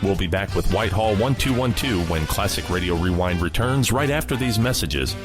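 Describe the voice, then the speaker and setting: Low-voiced man hosting over music. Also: expressive, fairly quick. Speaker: host of old-time radio show. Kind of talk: scripted narration.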